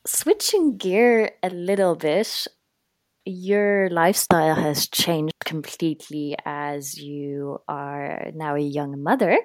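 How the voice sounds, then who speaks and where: A woman's voice: low voice, slow pace, no echo. A woman interviewing a guest in a casual conversation, asking questions and adding short remarks and laughter.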